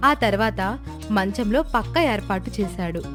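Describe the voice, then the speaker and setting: A woman's voice: mid-range voice, expressive, a little slow, music in the background. A woman narrating a scripted moral story for children.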